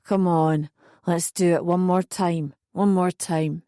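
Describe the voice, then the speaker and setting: A woman's voice: lowish voice, fairly even intonation, slow pace, warm tone, clean tone, no echo, studio quality, voice pushed down. A woman voicing a drunk young man in an audiobook, slurring as he insists and pleads. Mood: drunkenly pleading.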